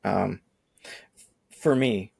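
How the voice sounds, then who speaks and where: A man in his forties with a low, gravelly voice, talking casually on a podcast. He rambles through long thoughts, circling back and restarting as he goes. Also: very slow, darkish tone. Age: 40s. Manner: rambling, restarts often, laughs easily. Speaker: podcast co-host. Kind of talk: casual chat.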